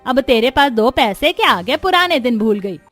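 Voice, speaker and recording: A woman's voice: fairly high pitch, big pitch swings, normal speed, fairly bright tone, soft background music, voice pushed up. A woman voicing an older, scolding mother-in-law who complains sharply in a cartoon family story. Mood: scolding, indignant.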